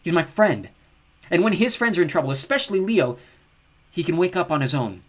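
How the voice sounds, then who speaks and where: A man's voice: high-pitched, big pitch swings, fast, breathy edge, voice pushed up, full character voice. Man voicing a teenage demigod girl, earnest and persuasive. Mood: defiant. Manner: earnest, coaxing.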